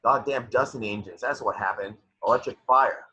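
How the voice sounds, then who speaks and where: A man's voice: mid-range voice, fairly quick, bright, forceful tone, breathy edge, rough recording. A man reading a blunt, grumbling spaceship engineer at an amateur table read. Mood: disgruntled. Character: gruff, grumbling working-class male spaceship engineer.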